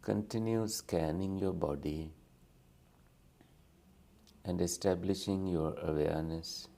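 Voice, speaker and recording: A man leading a guided meditation as a teacher, speaking gently and soothingly in short phrases with long pauses between them. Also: low-pitched, vocal fry.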